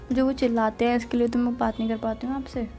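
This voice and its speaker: High-voiced young Indian woman on a call. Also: little pitch movement, fast, dark tone. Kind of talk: casual intimate phone chat. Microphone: phone call. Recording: phone call recording, faint music.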